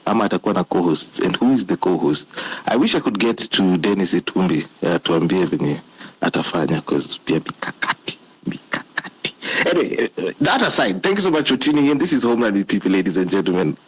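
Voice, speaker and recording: Husky low-voiced male host. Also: quick pace, poor audio. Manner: lively, laughing between remarks. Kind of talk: hosting a live audio space.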